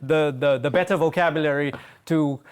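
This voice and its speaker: A man hosting a group discussion, playful and quick to laugh as he moves between speakers. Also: on the high side, steady tone, clear, resonant tone. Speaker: host. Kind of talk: moderating a discussion.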